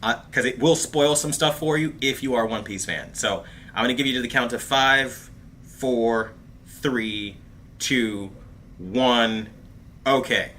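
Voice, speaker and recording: A man hosting a casual livestream, talking in an animated, chatty way with plenty of fillers and calling his viewers bro and dude. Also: mid-range voice, a little slow, slight background noise. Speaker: host of a TV review livestream. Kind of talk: casual livestream chat reading viewer comments.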